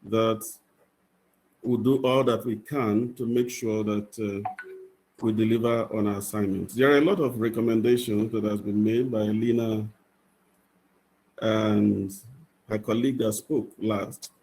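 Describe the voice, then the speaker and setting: A man with a low voice speaks haltingly, filling his pauses as he addresses an online meeting. There is faint background noise behind him. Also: steady tone, a little mellow, low-quality recording. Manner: hesitant, many fillers. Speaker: regional representative. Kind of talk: meeting remarks on a video call. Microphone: video call.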